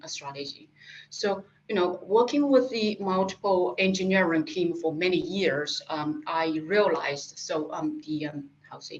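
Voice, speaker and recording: A middle-aged woman from Japan with a Japanese accent, answering interview questions haltingly with many fillers and restarts. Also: lowish voice. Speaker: guest, industry specialist. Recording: dull lo-fi sound.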